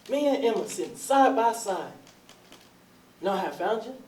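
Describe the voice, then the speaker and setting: A man's voice: high-pitched, very animated, normal speed, darkish tone. A man playing an ardent, insistent suitor in his forties in a readers theatre drama, with folksy, effusive speech. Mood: ardent, eager. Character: ardent, insistent 42-year-old man courting his lost sweetheart. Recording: roomy, distant, noisy recording.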